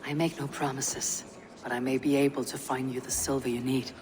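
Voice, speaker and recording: A woman playing a stern, steady Viking warrior in a video game, speaking in measured, formal, archaic phrases. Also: rumbling low, crowd noise. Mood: measured, persuasive. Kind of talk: video game cutscene acting. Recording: reverberant hall with music behind.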